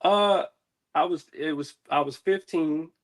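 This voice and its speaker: A man's voice: fairly high pitch, a little slow. A man from the United States, a music artist being interviewed, talking casually with lots of slang and swearing. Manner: slangy, profane, peppered with "man" and "dude".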